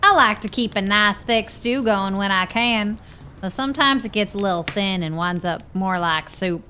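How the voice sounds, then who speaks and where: A woman's voice: mid-range voice, moderate pace, pushed and bright, slight background noise, close to an everyday voice. A woman playing a folksy, good-humored small-town camp dweller in a radio play, with plain country phrasing. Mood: cheerful.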